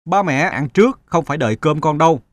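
Guy doing earnest young cartoon son, unsure of himself, lively voice w/ music under it. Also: fairly high pitch, fast, no room sound, lightly acted. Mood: eager. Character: earnest thirty-year-old son, restless and self-doubting. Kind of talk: Vietnamese moral-story cartoon dubbing.

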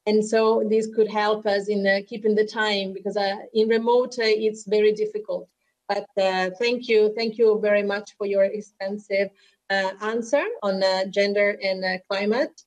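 A woman's voice: mid-range voice, little pitch movement, normal speed, a little mellow. A woman chairing a hearing over a video call, polite and using many fillers. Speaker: committee chair.

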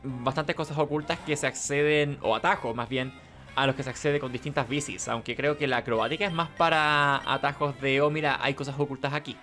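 A man's voice: medium pitch, a little fast, pushed and bright. A man with a Chilean accent giving casual playthrough commentary, repeating himself and muttering as he thinks. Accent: Chilean Spanish. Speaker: gaming video host. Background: video game music behind the voice.

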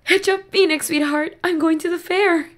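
A woman playing a devoted, excitable mother in an audio drama, expressive and motherly. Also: very high voice, moderate pace. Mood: delighted. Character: devoted, excitable mother, an eccentric village baker. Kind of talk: amateur audio drama acting.

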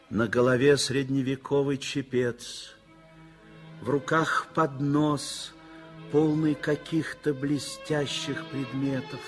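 A man narrating a 1960s radio drama in a measured, lyrical way. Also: medium pitch.

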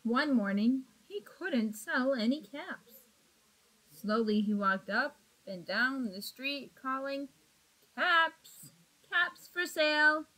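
A woman in her thirties reads a picture book to young children. Her voice is high and her pace is slow and deliberate, with expressive phrasing, picked up by a computer mic in a small room. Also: poor audio. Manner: expressive, deliberate, asks the young listeners questions. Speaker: storybook reader. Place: small indoor room with block walls. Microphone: webcam or laptop at arm's length.

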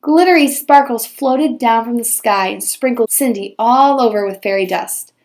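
A woman teacher reading a children's storybook aloud with expressive, dramatized storytelling. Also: on the high side, moderate pace.

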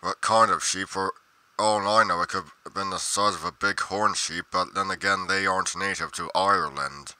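Game roleplay casting: pompous, musing male investigator acted by a man, low-pitched, dry close sound, emphatic journal monologue. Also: steady tone, moderate pace, sharp tone, no echo. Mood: musing, pedantic. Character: pedantic, musing male paranormal investigator narrating his journal.

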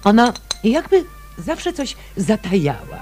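A woman's voice: medium pitch, big pitch swings, takes it slowly, dark tone, faint music behind. An actress playing a polite, unsure suburban neighbour lady in a radio drama.

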